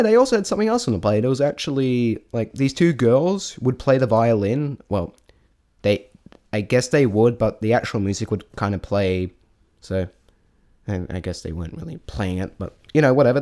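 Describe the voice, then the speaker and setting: A man's voice: medium pitch, darkish tone. A man giving a casual, unscripted spoken review, rambling and thinking aloud in a self-deprecating way. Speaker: amateur vlog reviewer.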